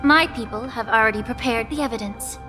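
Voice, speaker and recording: An actress playing a composed female alchemist master who explains things calmly. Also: fairly high pitch, fairly quick, big-room sound, over loud music, natural voice. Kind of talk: game cutscene voice acting.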